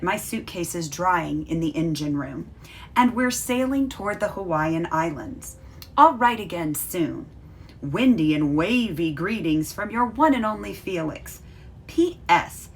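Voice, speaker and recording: A woman voicing an excited, friendly traveling bunny in a children's storybook read-aloud, with expressive delivery. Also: on the low side, measured pace. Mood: excited, breezy.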